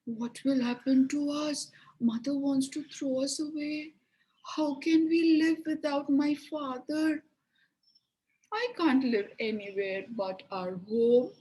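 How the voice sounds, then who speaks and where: Woman playing a tearful, high-voiced little girl. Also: takes it slowly, warm tone, low-quality recording. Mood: tearful, frightened. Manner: plaintive, sobbing. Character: frightened, tearful little girl in a fairy tale.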